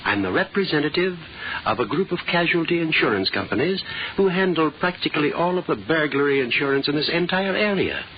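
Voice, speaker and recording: A man's voice: fairly high pitch, expressive, a little fast, muffled recording, a little hiss or hum, natural voice. A man playing a formal, easily exasperated insurance representative in old-time radio drama style, on an old recording. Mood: formal, insistent. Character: fussy, incredulous businesslike male insurance representative.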